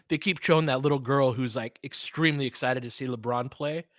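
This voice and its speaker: A man's voice: medium pitch, normal speed, dry recording. A man giving informal basketball play-by-play and analysis, conversational and opinionated, with some mild swearing. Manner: conversational, opinionated, mildly profane. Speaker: sports commentator, host.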